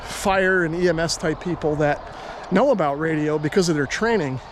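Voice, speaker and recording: A man's voice: a little high, moderate pace, background noise. An American man talking casually to viewers as a hobbyist host, explaining his points in an easygoing, conversational way. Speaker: radio hobbyist and video host.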